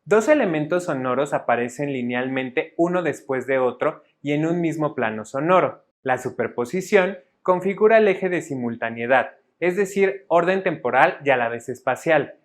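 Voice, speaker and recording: Male narrator for educational audio. Fairly high pitch, formal and didactic, reads a structured script. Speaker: instructor narrator.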